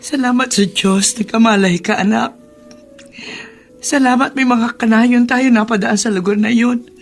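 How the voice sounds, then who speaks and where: A woman playing a gentle provincial mother, soothing and careful, in a Philippine radio drama. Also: fairly high pitch, steady tone, moderate pace, natural voice. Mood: sorrowful consoling. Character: gentle, consoling middle-aged provincial mother.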